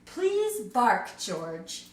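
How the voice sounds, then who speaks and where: A woman voicing a self-assured, brisk vet in a picture book she reads aloud. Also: on the high side, huge pitch swings, roomy sound, full character voice. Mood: confident. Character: confident, brisk animal vet.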